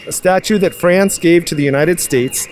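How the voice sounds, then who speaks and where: A man's voice: high-pitched, moderate pace, darkish tone, a little hiss or hum, low-quality recording. A young man in a street interview at night outdoors, musing philosophically and asking rhetorical questions into a handheld mic. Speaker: passerby. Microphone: handheld mic held by interviewer.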